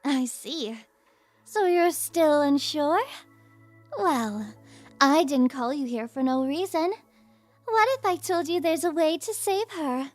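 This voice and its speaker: A super high girly trickster, slow teasing asmr roleplay, coy n taunting, music behind. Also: expressive, dry recording. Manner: teasing, coy, mock-innocent, drawn-out phrasing. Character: playful, taunting young female masked trickster fool. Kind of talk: ASMR audio roleplay, scripted character acting.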